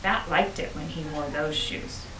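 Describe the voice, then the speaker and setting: A woman reading a children's book aloud with expressive storytelling, as a school principal would to pupils. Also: deep voice, unhurried. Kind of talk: children's audiobook narration. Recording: roomy, distant, noisy home-style recording.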